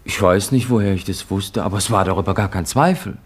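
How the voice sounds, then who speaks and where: An actor playing an earnest, devout young pilgrim who pleads and insists, in a 1950s radio play. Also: male voice, low voice, a little fast, breathy edge. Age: young adult.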